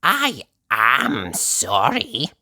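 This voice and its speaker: A narrator voicing a sly storybook washerwoman who apologizes with drawn-out, mock innocence. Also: medium pitch, wildly swooping pitch, bright, forceful tone, full character voice. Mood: mock apologetic.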